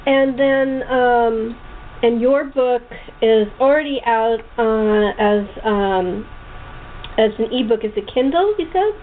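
A woman hosting a casual interview chat, talking in a halting, rambling way with repeated words. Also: on the high side. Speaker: radio show host and voice actor. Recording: tinny phone line with clear noise.

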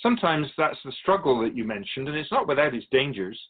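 A man playing a patient, wise, otherworldly Keeper of Souls who teaches in a measured, philosophical way in a radio drama. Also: mid-range voice, sing-song, phone-quality sound. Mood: patient, instructive. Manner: measured, philosophical, gently instructive. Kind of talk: scripted radio drama dialogue.

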